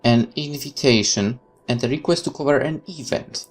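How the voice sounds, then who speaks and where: A man's voice: medium pitch, moderate pace. A man reading a horror visual novel aloud as a let's player, with casual commentary of his own.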